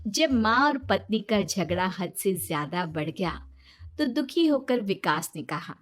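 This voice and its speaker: A woman's voice: mid-range voice, big pitch swings, unhurried, soft background music. A woman narrating a scripted children's story.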